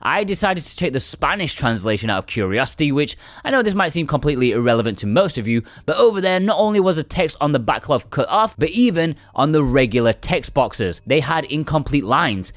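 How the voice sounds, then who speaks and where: Lively male game reviewer, dry close sound. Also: a little high, fairly quick, few pauses, dry recording. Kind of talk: scripted review narration.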